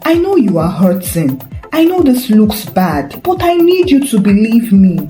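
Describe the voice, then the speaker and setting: Woman voicing an intense, proud pastor, expressive pitch. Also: unhurried. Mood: pleading, insistent. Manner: intense, insistent. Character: earnest, wounded, proud married male pastor. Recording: roomy echo with faint music.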